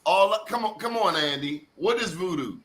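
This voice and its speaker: A man's voice: high-pitched, lively intonation, a crowd in the background. A man in his sixties hosting a group discussion, speaking emphatically and often cutting in. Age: 60s. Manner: emphatic, quick to interject. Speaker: host.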